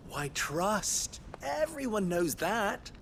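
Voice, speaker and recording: A shrewd, theatrical merchant boss in a fantasy game. He draws out his words slowly and pompously, with very lively pitch and open mockery. A faint rumble sits behind him. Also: full character voice. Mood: smug. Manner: pompous, taunting, drawn-out delivery. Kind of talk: video game cutscene acting.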